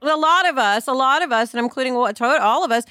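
A woman hosting a casual discussion, speaking in an animated, emphatic way and repeating phrases to make her point. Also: high voice, fast talker, clear, resonant tone, no echo, studio quality. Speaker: podcast host.

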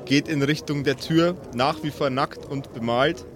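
A man narrating an improvised tabletop game as game master, in a theatrical, deliberate storytelling manner. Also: on the high side, crowd noise.

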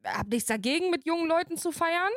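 A woman's voice: very high voice, expressive, a little fast, fairly bright tone, no echo, studio quality. A woman in her mid twenties co-hosting a podcast in an informal, casual chat with youthful slang. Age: mid 20s. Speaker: podcast co-host.

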